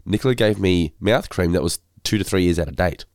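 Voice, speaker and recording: A man co-hosting a casual podcast, his voice congested and hoarse from a head cold, talking in a weary, self-deprecating way. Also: low voice, expressive, a little fast, a little mellow, no room sound, studio quality. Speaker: podcast co-host.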